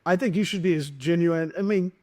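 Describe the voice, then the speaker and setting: A middle-aged man hosts a casual podcast conversation, his voice sitting a little high for a man. He rambles through stories and jokes, restarting his sentences often. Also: brisk, darkish tone. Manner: rambling, joking, lots of restarts. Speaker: podcast host and stand-up comic. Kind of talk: casual interview chat.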